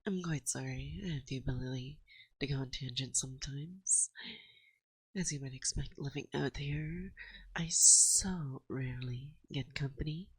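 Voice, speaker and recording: A woman playing a playful, dominant forest witch in an ASMR roleplay, speaking softly and breathily close to a binaural mic, often slipping into a whisper, teasing and coaxing. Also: extremely low pitch, slightly rough, dry recording, exaggerated voice. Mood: doting, chatty. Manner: slow, teasing, coaxing, with musing pauses. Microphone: close binaural microphone.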